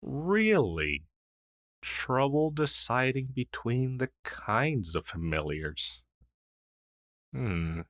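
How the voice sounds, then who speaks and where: A man playing a gentle, wistful old crow familiar in an ASMR roleplay, speaking hesitantly and softly with sighs. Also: medium pitch, big pitch swings, no echo, clean studio sound, exaggerated voice. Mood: curious, friendly. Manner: hesitant, halting, soft-spoken with sighs.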